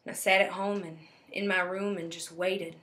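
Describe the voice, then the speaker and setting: A woman's voice: on the low side, little pitch movement, moderate pace, fairly bright tone, lightly acted. A woman playing an odd, earnest small-town backwoods young woman, confiding in a folksy, matter-of-fact comic stage monologue. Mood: jealous, matter-of-fact.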